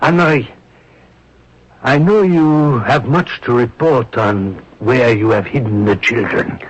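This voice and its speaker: An actor playing a steadfast, bold French monsignor in measured, declamatory 1980s radio drama style. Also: male voice, medium pitch, breathy edge. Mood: resolute. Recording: dull, noisy old radio broadcast.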